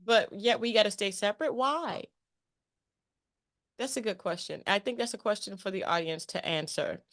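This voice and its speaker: A woman's voice: mid-range voice, normal speed, a bit bright. A woman hosting a casual interview in a chummy, emphatic way, often repeating phrases for emphasis. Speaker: interview show host.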